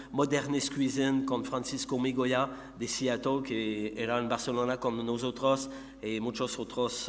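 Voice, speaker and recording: Quebec French-accented man presenting in an echoey hall. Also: mid-range voice. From Montreal, Canada. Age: middle-aged. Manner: halting, searching for words in a second language. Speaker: conference speaker, company founder and researcher. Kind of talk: conference presentation. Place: conference hall. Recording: echoey hall with long reverb, background hum.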